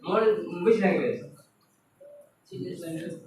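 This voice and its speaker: High-voiced Indian student, echoey room. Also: male voice, animated, a little mellow, slight background noise. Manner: halting, repeats and restarts phrases. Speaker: student debater. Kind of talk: classroom English debate. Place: classroom. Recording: echoey room, far from mic.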